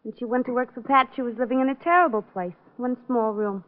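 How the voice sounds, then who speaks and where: High-voiced coy radio femme played by a woman. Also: fast, lightly acted. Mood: evasive, cool. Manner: coquettish, unruffled. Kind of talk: 1940s radio drama acting. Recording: tinny, band-limited old radio recording.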